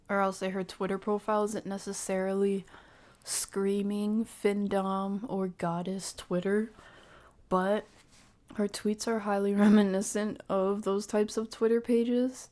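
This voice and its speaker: A woman streamer giving casual reaction commentary, measured and hedging as she thinks aloud. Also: mid-range voice, a little mellow.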